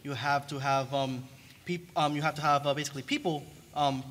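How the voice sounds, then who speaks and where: A man explaining things on a panel, halting and stumbling over his words. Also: on the high side, steady tone, fairly bright tone. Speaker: panelist, artist. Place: convention panel room. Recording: noisy room ambience.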